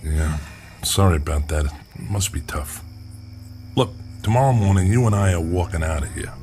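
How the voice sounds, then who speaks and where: A skeptical investigator in a radio drama. His voice sits very low, and he talks with dry sarcasm and small hesitations. Faint music runs underneath. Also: animated, moderate pace, dark tone. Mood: wry, friendly. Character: skeptical, wry male investigator writing a manuscript. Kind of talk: audio drama acting.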